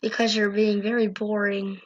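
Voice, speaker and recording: A woman's voice: mid-range voice, little pitch movement, normal speed, lo-fi sound, a little hiss or hum. A woman in casual family chat, asking prodding questions in a teasing way.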